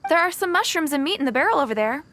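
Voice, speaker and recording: High-voiced, confident survival expert. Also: female voice, lively intonation, very fast, fairly bright tone. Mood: confident, welcoming. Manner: self-assured, instructive. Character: confident, friendly young female wilderness survival expert. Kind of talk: English video game character acting.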